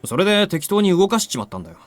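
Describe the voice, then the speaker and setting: A male actor voicing a cocky, nonchalant young man in a visual novel, with rough, offhand speech. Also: high-pitched, big pitch swings, very fast. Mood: exasperated, defensive. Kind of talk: Japanese visual novel voice acting.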